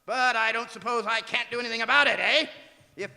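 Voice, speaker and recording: A man's voice: very high voice, a little fast, gravelly, bright, forceful tone, full character voice. A man acting a bitter, grumbling old miser in a radio drama, with theatrical, barking delivery. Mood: grudging irritation. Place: performing arts theatre stage. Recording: reverberant hall stage recording.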